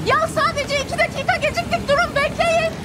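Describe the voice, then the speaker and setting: An actress playing an excitable, dramatic, naive city visitor with exclamatory, effusive speech in a light TV drama. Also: female voice, very high-pitched, a little fast, very noisy. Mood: frantic, indignant. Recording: loud music and crowd behind dialogue.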